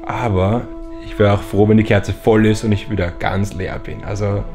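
A man's voice: on the low side, little pitch movement, normal speed, music in the background. A man in his twenties with an Austrian accent, talking casually to camera as a vlogger. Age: 20s. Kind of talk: casual vlog talk to camera.

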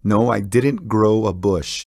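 A man teaching English, reading each drill sentence twice in a deliberate way. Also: lowish voice, gravelly, a little mellow. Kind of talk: slow, repeated drill sentences for learners.

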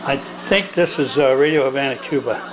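An older man with an American accent giving a casual hands-on demonstration in a halting, folksy, plain-spoken way. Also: mid-range voice. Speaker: hobbyist demonstrator. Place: indoors at a home workbench.

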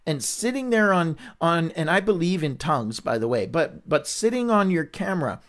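Male Bible study host with a slightly high voice. Candid, earnest, conversational, and prone to restarts. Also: sing-song, normal speed, a bit bright. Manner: rambling with frequent restarts. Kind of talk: livestreamed Bible reading and discussion.

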